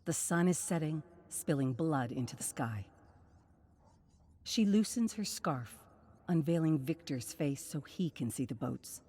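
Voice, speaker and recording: A woman reading a scripted dramatic story in a solemn, measured narration. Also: low-pitched, animated, dark tone, slightly rough. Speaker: narrator of game lore.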